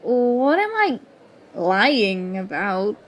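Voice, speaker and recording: A woman's voice: medium pitch, very animated, extremely slow, heavily acted. A woman acting an indignant, sarcastic young student confronting a former school enemy in an audio roleplay. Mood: scornful. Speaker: ASMR roleplay performer. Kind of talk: ASMR roleplay acting, one side of a dialogue.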